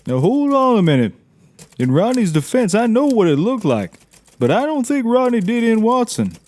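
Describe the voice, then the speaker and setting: A man's voice: very high-pitched, big pitch swings, moderate pace, darkish tone. A man playing a naive, folksy taxidermist and tracker in a scripted audio comedy, rambling with drawled interjections. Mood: protesting.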